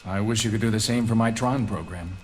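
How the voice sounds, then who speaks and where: A man's voice: on the low side, brisk, slight background noise, natural voice. A man playing an earnest, determined programmer hero on a read-along record, with emphatic delivery.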